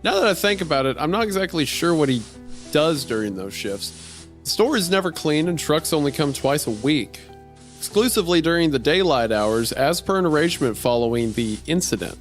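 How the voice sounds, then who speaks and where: A man reading as a deadpan gas station clerk, telling a strange story in the first person in a dry way. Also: fairly high pitch, a little fast, natural voice. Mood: dry, puzzled. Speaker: podcast host reading a horror story aloud. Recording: music behind the voice.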